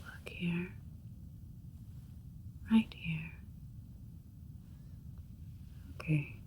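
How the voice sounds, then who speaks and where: A woman playing a calm, clinical alien doctor in an ASMR sci-fi roleplay, speaking in a gentle, reassuring breathy whisper. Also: fairly low pitch. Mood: calm, welcoming. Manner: slow, gentle, reassuring, formal and procedural. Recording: faint steady spaceship ambience noise. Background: soft alien spaceship ambience.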